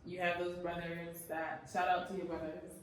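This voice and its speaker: A woman's voice: on the low side, flat delivery, moderate pace. A woman hosting an interview, retelling her guest's story back to him and asking probing follow-up questions. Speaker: interview host. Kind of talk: conversational interview. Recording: echoey room, mic at a distance, faint noise.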